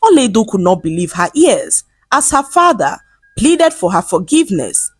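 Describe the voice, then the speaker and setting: Expressive woman narrating folktales, slightly husky. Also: medium pitch, moderate pace, no echo, soft background music. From Nigeria. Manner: dramatic, expressive storytelling. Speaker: storyteller.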